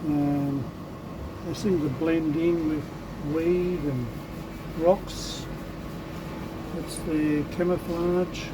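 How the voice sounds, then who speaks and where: A man with a croaky voice, talking through his lure painting in an unhurried, thinking-aloud way. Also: on the high side, flat delivery, background noise. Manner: unhurried, thinking aloud while working, with many ums. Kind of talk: casual hands-on tutorial commentary.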